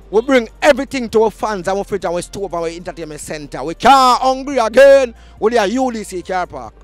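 Hyped Jamaican man with a high voice. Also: no echo. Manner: hyped, shouting slogans, Patois banter. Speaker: street market host. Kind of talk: roving market vox pop with a handheld mic. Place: outdoor street market. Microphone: handheld mic.

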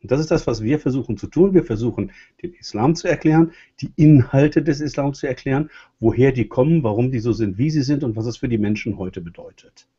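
A man's voice: mid-range voice, brisk, hardly any pauses, warm tone, husky. A man co-hosting an online talk show, speaking in a loose, chatty way with restarts. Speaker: co-host. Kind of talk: casual spoken commentary. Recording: dull, lo-fi sound.

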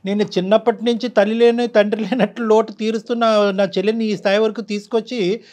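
A man's voice: high-pitched, flat delivery, fairly quick, darkish tone. A middle-aged man hosting a counselling interview in a studio, asking probing questions. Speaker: counsellor and show host. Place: quiet dark TV studio.

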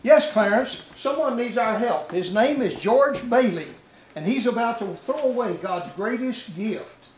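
An older man playing a kindly, authoritative chief of angels in a staged radio play, speaking in a measured way. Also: extremely high pitch, animated, slight background noise. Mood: calm authority. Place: theater stage. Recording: echoey stage, distant camera.

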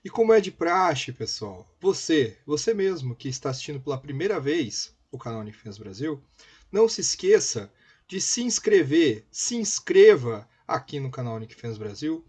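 A man's voice: on the high side, very animated, darkish tone, breathy edge. A man in his forties from Brazil delivering a practiced channel outro in Portuguese on a headset, in a small home studio. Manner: rapid, practiced call to subscribe. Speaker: channel host.

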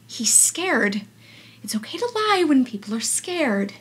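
A woman playing a flustered, protective aunt in an audio drama, speaking in an urgent, halting, reassuring way. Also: sits high, big pitch swings, breathy edge, exaggerated voice. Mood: reassuring, firm. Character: flustered, protective aunt hurriedly soothing her nephews.

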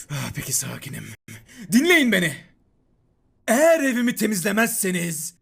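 Male actor as dramatic, exasperated animated homeowner; very lively high register, mutters then yells. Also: creaky, slight background noise, exaggerated voice. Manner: dramatic, swinging from muttering to yelling. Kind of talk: animated comedy dub in Turkish.

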